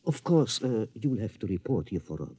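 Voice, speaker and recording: An actor playing a sly, formal, discreet craftsman salesman in an old-time radio drama, deliberate and insinuating. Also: lowish voice, sing-song, dark tone, husky. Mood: persuasive, assured. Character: sly, formal, discreet maker of duplicate marionettes.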